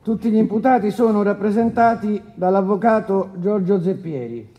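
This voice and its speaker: High-voiced actor as male judge, distant hall. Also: moderate pace, close to an everyday voice. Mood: brisk. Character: brisk, curt male presiding judge. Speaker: presiding judge. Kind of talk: courtroom questioning. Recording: distant reverberant hall, dull sound.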